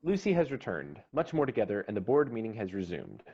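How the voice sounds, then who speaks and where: A man's voice: on the low side, very animated, brisk, mellow, slightly rough. A man reading screenplay stage directions as narrator at an online table read. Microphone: video call. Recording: dull lo-fi online call audio.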